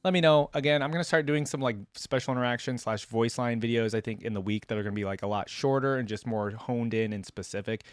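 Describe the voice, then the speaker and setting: A man's voice: medium pitch, fast, few pauses, smooth, no room sound, clean studio sound. A man chatting casually and informally to his viewers as a reaction video host, rambling conversationally as he goes. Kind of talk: casual unscripted chat to viewers.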